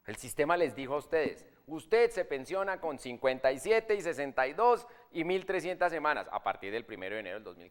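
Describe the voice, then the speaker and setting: A man's voice: fairly high pitch, a bit bright. A man giving an informal seminar lecture on pensions, explaining in a plain, emphatic way with colloquial asides.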